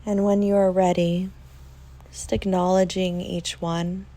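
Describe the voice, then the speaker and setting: Female meditation guide: mellow, relaxed, slightly low voice, gentle and soothing delivery with unhurried pauses. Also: low-quality recording. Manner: soft, soothing, with long pauses.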